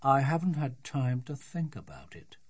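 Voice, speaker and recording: A woman voicing a weary, put-upon father in a children's storybook, with drawn-out, desperate complaining. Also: a bass voice, sing-song, darkish tone, slightly rough, exaggerated voice. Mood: long-suffering.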